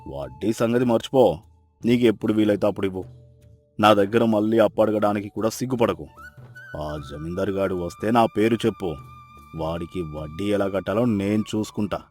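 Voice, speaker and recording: A man's voice: on the low side, fairly quick, a little mellow, soft background music, lightly acted. A man playing a mocking village moneylender with a hidden kind heart in a dramatized moral story, sarcastic, teasing and blunt. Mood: gruffly generous.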